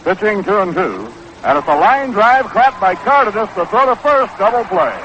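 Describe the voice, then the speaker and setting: A man with a slightly high American voice calls a baseball game play-by-play. The style is 1960s radio sportscasting. The recording is a little muffled, with faint noise behind him. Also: very animated, normal speed. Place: outdoor ballpark broadcast booth. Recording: dull, noisy 1960s AM radio broadcast.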